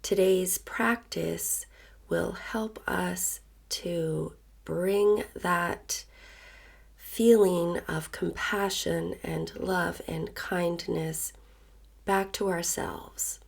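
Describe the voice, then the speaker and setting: A woman leading a guided meditation in a soft voice that turns breathy at phrase ends, gentle and unhurried with long pauses. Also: on the low side, fairly even intonation. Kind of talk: guided meditation, slow and soothing narration. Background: soft background music.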